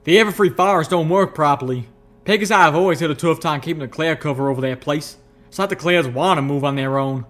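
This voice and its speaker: Man putting on a folksy drawling pegasus, pitched up. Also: fast talker, fairly bright tone, lightly acted. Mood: easygoing, explanatory. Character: folksy, blunt, excitable young male pegasus.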